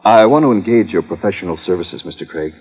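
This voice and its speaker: Fidgety, husky-voiced publicity man. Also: sits low, animated, fast. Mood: formal, earnest. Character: fidgety, fussy, long-winded male public relations man. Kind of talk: 1950s radio drama acting. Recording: muffled old radio recording.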